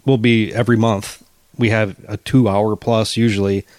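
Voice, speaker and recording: A man co-hosting a podcast, chatting in a quick, matter-of-fact way. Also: lowish voice, little pitch movement, a little mellow, dry recording. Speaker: podcast co-host. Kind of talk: casual chat. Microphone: studio podcast mic.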